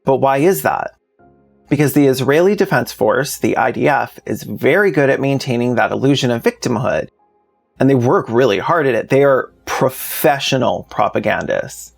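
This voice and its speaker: A man's voice: mid-range voice, lively intonation, moderate pace, vocal fry, faint music behind. A man narrating a scripted commentary video in a plainspoken, informal way with sardonic asides. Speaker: video essayist, host.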